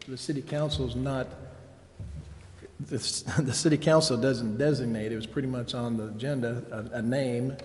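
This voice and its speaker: A man's voice: medium pitch, steady tone, moderate pace, a little mellow. A man speaking informally as a council member in a public meeting discussion. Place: indoor council chamber. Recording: very echoey council chamber.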